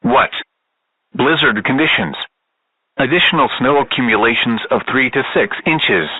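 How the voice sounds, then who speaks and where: A man's voice: medium pitch, normal speed, breathy edge, dry recording. Tinny synthetic male weather radio announcer. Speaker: automated weather alert announcer. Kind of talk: emergency weather bulletin. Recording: narrow phone-like weather radio broadcast.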